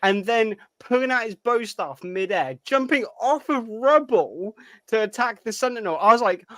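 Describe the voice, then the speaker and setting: A man with a high voice, recorded very dry, chats as a podcast co-host. His fan review is casual and eager, with animated retellings and some swearing. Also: normal speed, dry recording.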